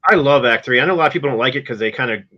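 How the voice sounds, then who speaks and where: A man's voice: mid-range voice, very fast, lo-fi sound. A middle-aged man hosting a music podcast in casual chat, matter-of-fact and openly opinionated. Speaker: podcast host.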